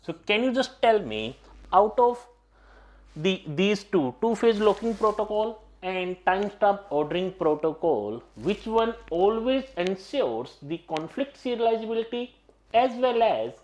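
A man's voice: high voice, sing-song, unhurried. A middle-aged man teaching an exam-prep lecture in a studio classroom, repeating key points and often addressing his students directly. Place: studio classroom at a digital whiteboard.

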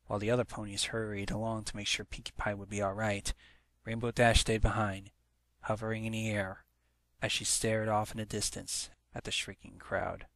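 A man's voice: fairly low pitch, level tone, moderate pace, creaky, fairly bright tone, no echo. A man reading a story aloud as a dramatic scripted narration. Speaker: fan fiction narrator.

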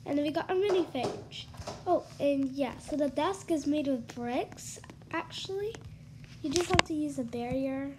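A woman's voice: high-pitched, normal speed, background noise. A young woman with a congested, stuffy voice, chatting casually through a tour of a house she built in a game, full of ums and likes. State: congested from allergies. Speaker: gaming YouTuber.

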